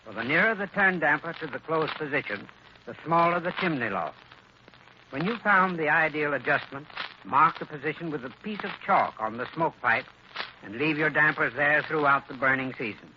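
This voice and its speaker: Casting: man as vintage radio sponsor expert, measured advice delivery, muffled noisy broadcast sound. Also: fairly high pitch, animated. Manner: measured, instructive. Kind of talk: 1930s-40s scripted radio advertising talk.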